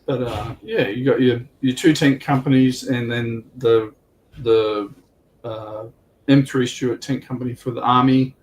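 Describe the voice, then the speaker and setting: A man chatting casually as he explains tabletop game rules, with halting, hesitant delivery. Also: mid-range voice, fairly even intonation. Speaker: guest on a tabletop wargaming livestream. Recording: clearly noisy background.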